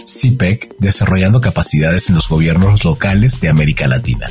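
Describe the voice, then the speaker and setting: Deep-voiced male host, storyteller delivery. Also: sing-song, brisk, a little mellow. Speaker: podcast co-host. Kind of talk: storybook-style introduction, then interview questions. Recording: faint music behind.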